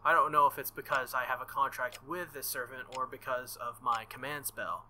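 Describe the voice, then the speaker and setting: Very bright-voiced man reading game narration. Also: mid-range voice, a little fast, a little hiss or hum. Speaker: gaming video host. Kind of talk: reading visual novel narration aloud.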